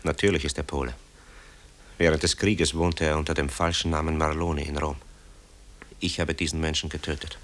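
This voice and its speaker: A very deep-voiced, guilt-haunted son. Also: male voice, little pitch movement, brisk, long pauses, a bit bright. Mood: grim, haunted. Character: tormented adult son, composer haunted by wartime guilt. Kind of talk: German radio drama acting.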